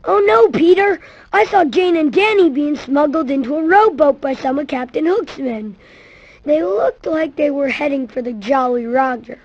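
A woman's voice: high voice, expressive, normal speed, a little mellow, dry recording, muffled recording. A woman voicing an earnest, eager little chubby boy, a loyal Lost Boy in a video game cutscene. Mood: alarmed.

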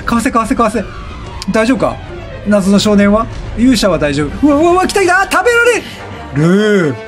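A man commentates on a video game in a high, very animated voice. He reacts with bursts of repeated exclamations, while game music plays behind him. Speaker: let's play gamer.

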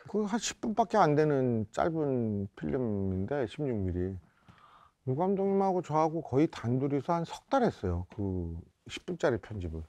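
A man in his fifties from South Korea reminisces as a film director in a reflective interview, often pausing to search for words. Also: medium pitch, darkish tone, no echo. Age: 50s. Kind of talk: reflective oral-history interview. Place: indoor interview set before a photo backdrop.

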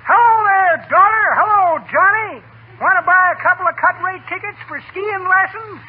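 A man's voice: extremely high pitch, moderate pace. An actor playing a cheery, teasing old man with a drawl in radio comedy. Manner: folksy drawl, wry joke-telling. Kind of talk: 1940s radio comedy acting. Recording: tinny, noisy 1940s radio broadcast.

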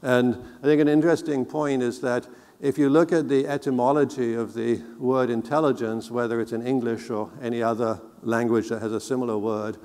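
A middle-aged man giving a conference keynote on a technical subject, explaining in a measured, deliberate way. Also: mid-range voice, fairly even intonation, darkish tone, smooth. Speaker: keynote speaker. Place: large indoor conference hall, on stage. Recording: reverberant hall sound.

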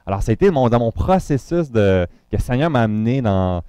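A man's voice: mid-range voice, sing-song, fairly quick, warm tone, clean tone, dry recording, clean studio sound. A man from Montreal with a Quebec French accent, introducing himself to a congregation as a guest pastor, talking loosely with many fillers and restarts.

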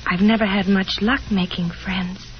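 A woman's voice: mid-range voice, fairly even intonation, close to an everyday voice. An actress playing a shy, self-conscious and lonely young woman in a 1950s radio drama, speaking hesitantly. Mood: shy, wistful. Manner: hesitant, halting, timid. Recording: muffled 1950s radio broadcast, hissy noise.

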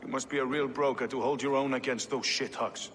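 An actor playing a gruff, suspicious medieval reeve in a video game, curt and sardonic. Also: male voice, mid-range voice, fairly even intonation, brisk, a bit bright, close to an everyday voice. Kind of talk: dramatic video game cutscene acting. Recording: reverberant stone hall, faint noise.

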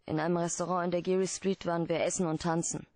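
An actress playing a guarded, troubled client pleading for help in a German radio play, hesitant and earnest. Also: female voice, fairly low pitch, flat delivery, fast, darkish tone, dry recording, studio quality, close to an everyday voice. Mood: guarded, explaining.

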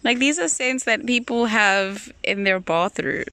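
A woman's voice: fairly high pitch, unhurried, sharp tone, dry recording. A young adult woman chatting in a casual, playful vlog style with slangy, joking turns. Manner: slangy, jokey. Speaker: vlogger. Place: outdoors on garden paths and trails, later an ice cream shop.

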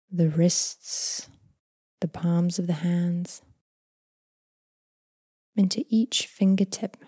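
Female meditation guide, breathy near-whisper, calm unhurried delivery, very dry close recording. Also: fairly low pitch, no room sound. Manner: gentle, unhurried, calming delivery with long phrases trailing off. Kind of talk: guided body scan meditation, slow and soothing.

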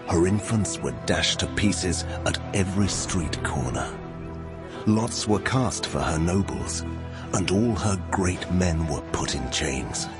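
A stern, menacing God pronounces judgment. The voice is very deep and moves slowly and solemnly. Music plays clearly behind this dramatized scripture reading. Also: male voice. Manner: grave, deliberate, menacing. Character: God, male, solemn, wrathful, commanding divine judge.

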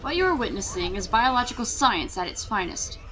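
A woman's voice: on the high side, very animated, brisk, poor audio. A woman playing a composed, proud paleontologist in an audio drama, speaking in a formal, explanatory way. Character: composed, proud female paleontologist at dinosaur facility. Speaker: actress in a fan audio drama. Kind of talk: full-cast audio drama acting.

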